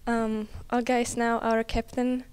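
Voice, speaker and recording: A woman's voice: fairly high pitch, little pitch movement, measured pace, a little mellow, clean tone. A woman in her early twenties with a Czech accent, a college tennis player answering interview questions in non-native speech. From Czech Republic. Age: early 20s.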